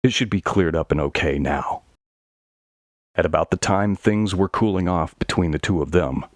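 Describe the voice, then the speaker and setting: Low, husky male horror narrator. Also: dry recording, clean studio sound. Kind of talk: scripted horror story narration.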